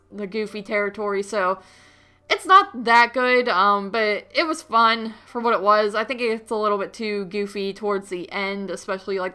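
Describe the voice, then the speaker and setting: A woman's voice: mid-range voice, sharp tone, smooth. A woman co-hosting a movie podcast, chatting casually and giving understated, rambling film reviews over music.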